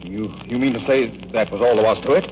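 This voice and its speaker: A man performs a stuffy, priggish bridegroom, stiff and affronted. He talks fast in a medium voice, in 1940s radio acting style, on a noisy old recording. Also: medium pitch, close to an everyday voice. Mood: indignant. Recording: dull, noisy vintage radio broadcast.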